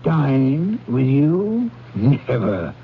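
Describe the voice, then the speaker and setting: An actor playing a bitter, miserly old businessman in a radio drama, gravelly, curt and contemptuous. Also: medium pitch, very animated, drawn-out words, a little hiss or hum, heavily acted. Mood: irritable, curt. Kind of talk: 1970s radio drama acting. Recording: dull lo-fi 1970s radio recording.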